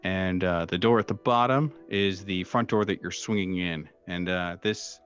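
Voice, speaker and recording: A man working as game master, improvising descriptive narration for a horror tabletop game in a halting, hesitant way. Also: deep voice, lo-fi sound. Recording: music playing behind the voice. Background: soft background music.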